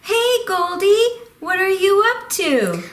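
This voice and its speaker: A woman's voice: very high-pitched, sing-song, slow, roomy sound, full character voice. A woman voicing a sweet, wide-eyed little girl puppet in a children's show, drawing out her words with eager wonder. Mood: curious.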